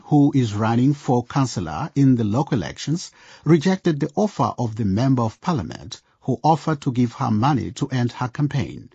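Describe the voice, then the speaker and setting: A man's voice: mid-range voice, warm tone, slightly rough, no room sound, lo-fi sound. A man narrating a radio drama from a script.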